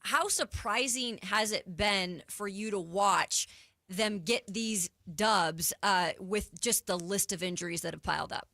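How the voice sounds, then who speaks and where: A woman's voice: medium pitch, a little slow, gravelly, bright, forceful tone, dry recording, clean studio sound. A woman co-hosting a sports radio show, putting questions to a guest in a casual way.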